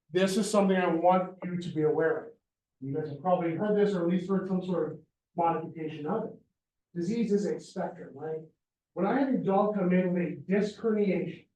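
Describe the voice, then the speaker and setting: A high-pitched man lectures with playful energy, tossing in jokes and quick checks with the class. His voice rings around a roomy hall and sounds set back from the mic. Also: recorded from a distance, muffled recording. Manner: animated, joking, says "y'all" and "right?". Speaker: veterinary neurology lecturer. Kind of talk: university lecture. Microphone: handheld or lapel microphone.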